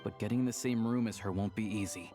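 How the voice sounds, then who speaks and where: A man's voice: on the low side, fairly even intonation, quick pace, warm tone, faint music behind, natural voice. An actor playing an easygoing, worldly young man in a video game, talking in a conversational, confiding way. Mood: informative. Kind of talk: video game dialogue acting.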